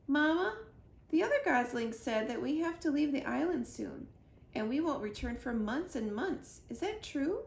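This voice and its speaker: A woman plays a young gosling boy from a storybook, high and pleading. He is eager with questions and devoted to his mother, with some creak in the voice and a roomy echo around it. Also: sing-song, moderate pace, exaggerated voice. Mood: anxious, pleading. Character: young male gosling, curious, anxious, affectionate son.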